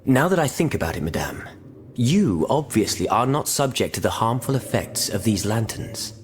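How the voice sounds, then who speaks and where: Stern revolutionary, oratorical, sardonic, period gentleman, wide-ranging intonation, video game cutscene. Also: on the low side, big pitch swings, moderate pace, faint music behind. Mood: resolute, sardonic.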